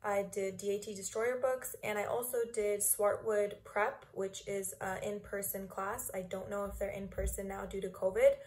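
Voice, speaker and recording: Casting: twenties woman, dental student, relaxed webcam chat, lots of fillers. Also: mid-range voice, normal speed, hardly any pauses. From Los Angeles, California. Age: 20s. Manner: chatty, many ums and likes. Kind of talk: interview answers to camera. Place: small room indoors.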